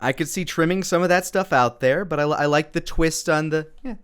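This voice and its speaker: A man's voice: on the high side, brisk, a bit bright, smooth. A man giving casual, self-critical commentary on his own video, thinking aloud as he goes.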